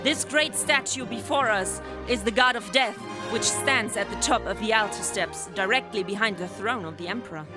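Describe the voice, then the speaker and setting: A woman's voice: mid-range voice, normal speed, few pauses, over music, close to an everyday voice. A woman playing a fierce, noble queen of a lion people, with grand, archaic declamation in a 1930s radio serial style. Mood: grave.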